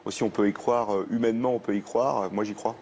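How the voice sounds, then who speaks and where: A man's voice: on the low side, fairly even intonation, fast, darkish tone, slight background noise. A man commenting on guests' stories on a TV talk show, emphatic and repeating himself. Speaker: studio commentator. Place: TV studio set.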